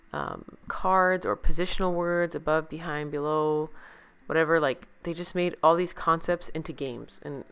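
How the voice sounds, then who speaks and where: A woman's voice: low-pitched, smooth. A woman chatting casually and enthusiastically in an informal shopping haul vlog. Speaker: vlogger, parent.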